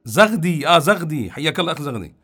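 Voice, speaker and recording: Fast-talking radio call-in host. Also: male voice, fairly high pitch, sing-song, a bit bright, breathy edge. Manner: friendly, hurried, repeats greetings. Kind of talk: casual call-in radio talk.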